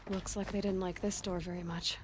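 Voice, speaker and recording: A woman playing a determined, guarded young warrior in a video game, speaking quietly in a dry, understated way. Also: lowish voice, level tone, a little fast, heavy background noise, close to an everyday voice. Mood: guarded, subdued. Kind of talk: naturalistic video game acting.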